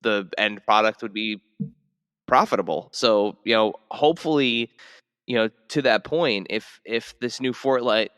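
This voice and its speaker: Clear, smooth-voiced male podcast co-host. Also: lowish voice, fairly bright tone, no echo, clean studio sound, big-room sound. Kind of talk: casual chat.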